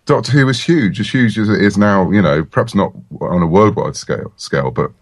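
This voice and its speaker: A man's voice: on the low side, a little fast, no echo, studio quality. A middle-aged British man speaking as a writer guest in a casual, chatty podcast interview.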